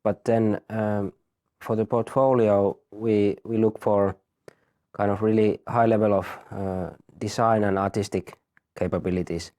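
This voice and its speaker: A man in his thirties, a university teacher, explaining a study program in a halting way, often repeating words. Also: lowish voice, fairly even intonation, warm tone. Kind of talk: explaining in an interview.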